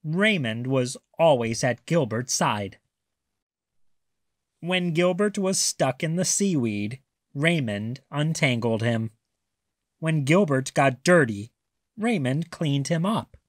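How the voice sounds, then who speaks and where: A man reading a children's picture book aloud as a storyteller, with expressive, deliberate narration. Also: fairly high pitch, no room sound, studio quality.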